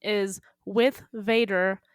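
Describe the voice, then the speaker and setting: A woman's voice: medium pitch, fairly even intonation, extremely slow, clear, resonant tone, dry recording, clean studio sound. A woman co-hosting a podcast, chatting casually with a giggly, wry manner. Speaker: podcast co-host. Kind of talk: casual joking chat.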